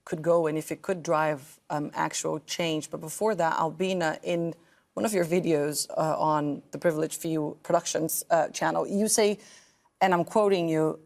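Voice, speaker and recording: A woman hosting an interview show, asking questions in a measured, halting way. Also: low voice, fairly even intonation, gravelly, a little mellow. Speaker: interview host.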